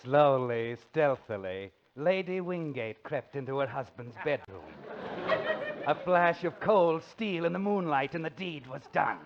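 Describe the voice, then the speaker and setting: An actor playing an outrageous, sinister mystery narrator in 1940s radio comedy, theatrical and lurid. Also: male voice, fairly high pitch, animated, measured pace, a little hiss or hum. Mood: melodramatic menace. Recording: dull, gravelly old 1940s radio recording.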